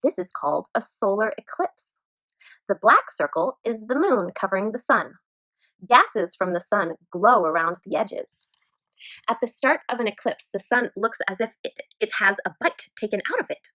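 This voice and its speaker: A woman teacher reading a children's nonfiction book aloud in an expressive storytime manner. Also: mid-range voice, moderate pace, no room sound, poor audio.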